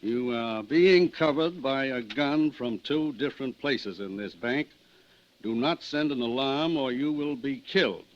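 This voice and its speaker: An actor playing a scheming gang boss in a radio drama, speaking with courteous, ingratiating, halting politeness. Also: male voice, medium pitch, a little hiss or hum, close to an everyday voice. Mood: scheming, commanding. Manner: ingratiating, halting with deliberate ers. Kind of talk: old-time radio drama acting. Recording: dull vintage radio recording.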